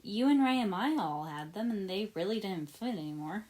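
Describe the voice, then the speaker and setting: A woman's voice: medium pitch, expressive, moderate pace, a little mellow, pitched above the performer's own voice, lightly acted. A woman voicing a sulky, sarcastic young boy in a read-aloud story. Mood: puzzled.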